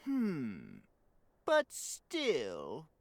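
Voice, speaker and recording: A man's voice: medium pitch, wildly swooping pitch, very slow, gravelly, no room sound, studio quality, exaggerated voice. Pompous, whiny cowardly king, drawn out. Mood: reluctant, whiny. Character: pompous, cowardly, easily flustered puppet king. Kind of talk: animated video game voice acting. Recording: prominent music behind the voice.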